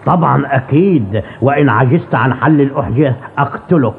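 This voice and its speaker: A scheming cartoon river monster played by a man speaks in theatrical, commanding threats. The voice crackles with gravel and comes through a thin, phone-like old dub with faint noise. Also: medium pitch, a little fast, lightly acted. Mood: sly, solemn. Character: boastful scheming river monster who poses deadly riddles. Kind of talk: dubbed cartoon voice acting in formal Arabic. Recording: tinny phone-like band, noisy old TV dub.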